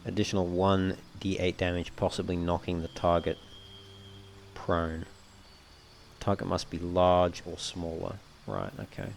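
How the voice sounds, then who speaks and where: A man giving casual let's play commentary, thinking aloud in a measured, understated way and often reading game text out. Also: low-pitched, vocal fry, a little hiss or hum. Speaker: gamer narrating a playthrough.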